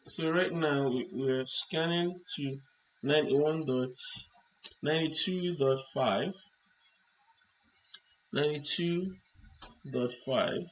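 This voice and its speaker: A man presenting an unscripted hands-on electronics demonstration, explaining step by step in halting short phrases. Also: on the high side, low-quality recording. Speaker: tutorial presenter. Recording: clear background noise.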